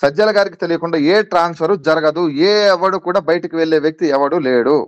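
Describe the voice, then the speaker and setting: A man's voice: a little high, quick pace, smooth, no room sound, dull audio. A man being interviewed on a recorded call, protesting insistently and repeating himself as he defends his position.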